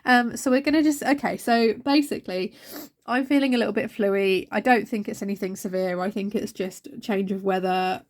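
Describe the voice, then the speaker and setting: A woman's voice: medium pitch, fairly even intonation, normal speed. A young woman, a teacher, chatting casually to camera at home while feeling fluey and tired, self-mocking. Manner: chatty, self-mocking, talks to her cat. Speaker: teacher and budgeting vlogger. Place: at home, indoors.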